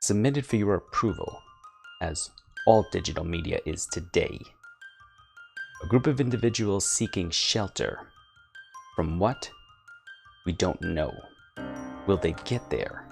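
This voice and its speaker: A man's voice: low voice, gravelly, voice pushed down, full character voice. A man doing an impression of a solemn, portentous mid-century anthology TV narrator, speaking in clipped, scripted introductions.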